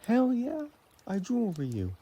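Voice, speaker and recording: A man's voice: extremely high pitch, wildly swooping pitch, moderate pace, mellow, breathy edge, exaggerated voice. A man acting as a possessive, romantic vampire boyfriend in an intimate audio roleplay, tender and teasing as he talks to the listener.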